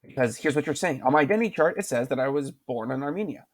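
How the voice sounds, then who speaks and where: A male teacher talks through a writing lesson in a calm, middle-pitched voice with a gravelly edge. He speaks patiently into his computer mic and keeps asking "why" to draw answers from his students. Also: quick pace. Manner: patient, repeats questions to prompt students. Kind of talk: screen-recorded writing lesson.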